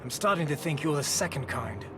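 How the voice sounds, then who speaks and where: An actor playing a roguish, wry, gold-hungry mercenary in a fantasy game. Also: male voice, on the high side, animated, brisk, background noise, close to an everyday voice. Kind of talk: video game cutscene acting.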